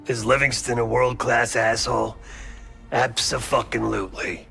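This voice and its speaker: An actor playing a blunt, wry American covert operative in a video game drama, talking casually and loyally. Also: male voice, mid-range voice, fairly even intonation, normal speed, vocal fry, sharp tone, faint music behind. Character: gruff, streetwise, loyal covert operative, adult man. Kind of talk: video game cutscene acting.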